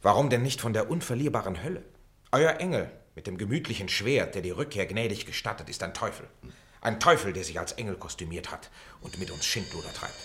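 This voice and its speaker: A disillusioned middle-aged man in a radio play. The voice sits very low. He is dry and brooding, with bitter sarcasm and a weariness of himself. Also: animated, brisk, fairly bright tone. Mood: bitter, sarcastic. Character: bitter, sardonic middle-aged former pupil, weary of himself. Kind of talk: German literary radio play acting.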